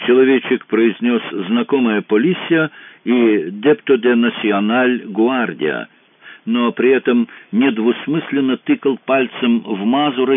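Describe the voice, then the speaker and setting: A man narrating an audiobook in an even, measured reading. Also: mid-range voice, no echo. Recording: dry, narrow phone-like sound.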